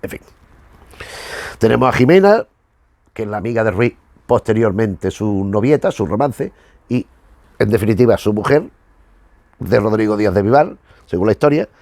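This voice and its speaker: Gravelly middle-aged man from Spain. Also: fairly low pitch, expressive, moderate pace, long pauses, a little mellow, dry recording. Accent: Spanish from Spain. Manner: rambling, self-correcting, emphatic repetition. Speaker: host of a retro television review show. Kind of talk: informal spoken commentary.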